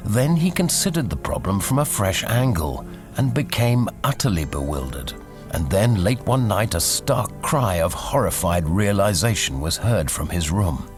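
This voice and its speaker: A male narrator reads scripted material in a deep voice. His delivery rises and falls with lively expression, over music. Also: measured pace. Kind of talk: scripted documentary narration and reading. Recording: music behind the voice.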